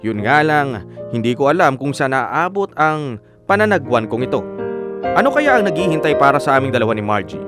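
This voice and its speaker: Actor as sincere lovestruck filipino guy narrating radio drama, measured storytelling, guitar music clearly behind him. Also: medium pitch, big pitch swings, close to an everyday voice. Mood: hopeful, lovestruck. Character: earnest, lovestruck young man narrating his own story. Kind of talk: scripted first-person radio drama narration. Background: plucked guitar music.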